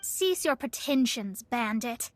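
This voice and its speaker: A woman voicing a haughty, sharp-minded young female astrologer in an anime game, with formal, imperious diction. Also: fairly high pitch, animated, slow, slight background noise. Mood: stern, dismissive.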